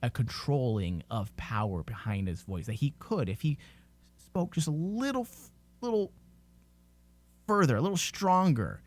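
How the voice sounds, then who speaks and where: A man's voice: mid-range voice, big pitch swings, a little slow. A man hosting a fan podcast in casual discussion, emphatically repeating phrases to make his point. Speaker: podcast host.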